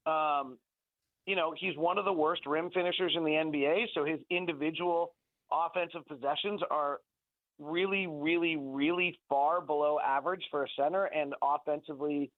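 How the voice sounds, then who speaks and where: A man with a slightly high voice analyzes basketball on a sports radio show. He sounds like he is on a narrow phone connection with no room sound. His delivery is conversational and analytical, with words repeated for emphasis. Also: dry recording. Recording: thin phone-like band-limited line.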